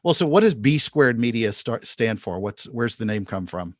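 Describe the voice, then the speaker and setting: A man presents a podcast as its host, with a mid-pitched voice. The recording is dry and close, and his delivery suits a prepared intro or an interview with a guest. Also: very animated, normal speed, no echo.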